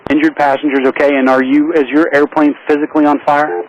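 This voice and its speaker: Fast-talking male air traffic controller on radio. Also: fairly high pitch, lo-fi sound. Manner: calm, accommodating, businesslike. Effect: aviation radio. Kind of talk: rapid radio instructions to pilots.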